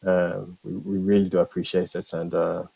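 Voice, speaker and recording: A middle-aged man hosts an author interview on a video call. His voice is low and rough-edged, with a dry, close sound. He talks haltingly, often repeating a word before carrying on. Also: flat delivery, dark tone, no room sound. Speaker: co-host of an author interview show. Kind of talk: live interview hosting. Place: indoor room at home, video call. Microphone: video-call microphone.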